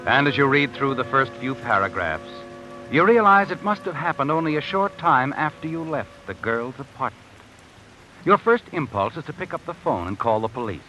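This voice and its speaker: An actor playing an eerie, all-knowing narrator in a 1950s radio suspense drama, telling the story ominously in the second person. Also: male voice, medium pitch, natural voice, rough recording. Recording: vintage radio recording, rain and music behind.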